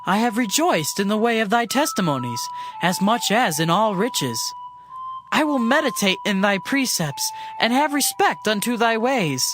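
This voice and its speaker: A man's voice: high-pitched, big pitch swings, normal speed. A man giving a dramatized reading of scripture. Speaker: scripture reader. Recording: reading over a music bed.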